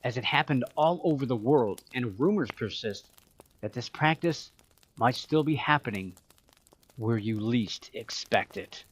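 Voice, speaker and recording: A man hosting a show with scripted narration in a measured, explanatory manner. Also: medium pitch, lively intonation, slightly rough, poor audio. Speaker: podcast host.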